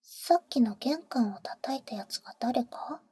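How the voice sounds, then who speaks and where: A woman playing a teasing, protective vampire big sister in an ASMR roleplay, speaking in an intimate, soft whisper close to the mic with cool, boyish phrasing. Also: a little high, expressive, unhurried, husky, dry recording, exaggerated voice. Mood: serious, explanatory. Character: teasing, protective female vampire, older-sister type to a boy. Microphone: close microphone, dry.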